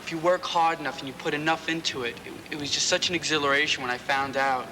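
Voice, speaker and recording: A man's voice: a little high, steady tone, quick pace, big-room sound. A young man from the Bronx, talking casually as a drama student in an interview. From the Bronx, New York. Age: teens to young adult. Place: large school rehearsal hall. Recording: noisy, worn tape copy.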